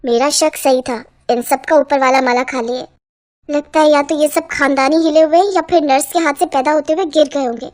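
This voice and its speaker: Woman voicing high-pitched, exasperated young daughter-in-law. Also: steady tone, moderate pace, natural voice. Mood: grumbling. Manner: complaining, sharp-tongued. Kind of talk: Hindi cartoon voice acting.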